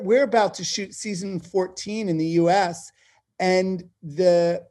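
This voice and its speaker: Mellow, higher-voiced man, emphatic. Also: vocal fry. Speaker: television producer being interviewed. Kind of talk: conversational interview answers.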